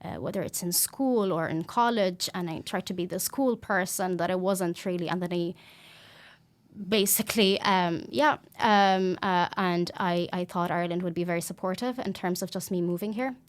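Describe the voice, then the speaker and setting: A woman's voice: on the low side, normal speed. A woman in her twenties from Lebanon, telling her story as a podcast guest in an earnest, hesitant way full of ums and restarts. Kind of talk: personal interview talk.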